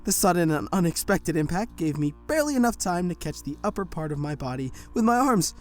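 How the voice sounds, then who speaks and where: A woman's voice: fairly low pitch, big pitch swings, fairly quick. Woman narrator, first-person audio drama, tense delivery, faint music behind.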